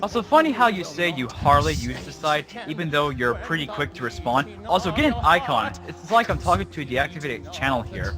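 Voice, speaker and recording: A man's voice: a little high, sing-song, brisk, few pauses. A man giving a dramatic reading of an online argument in a dismissive, snide manner. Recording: music playing behind the voice. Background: music.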